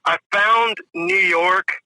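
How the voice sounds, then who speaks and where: Bright-voiced drummer man in his forties. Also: high-pitched, fairly even intonation, slow, no echo, rough recording. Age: 40s. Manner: rambling, fillers like "you know" and "if you will". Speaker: drummer guest. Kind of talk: casual interview storytelling.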